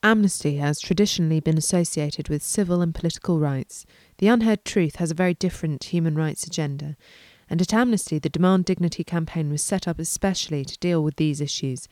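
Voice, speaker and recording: Woman podcast host, very low mellow voice, dry close sound, scripted presenting. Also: brisk, few pauses, dry recording.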